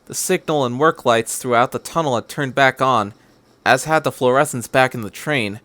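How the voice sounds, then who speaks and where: Man narrating a story, medium pitch. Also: normal speed, a bit bright, no echo. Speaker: podcast narrator. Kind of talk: scripted story narration, reading fan fiction.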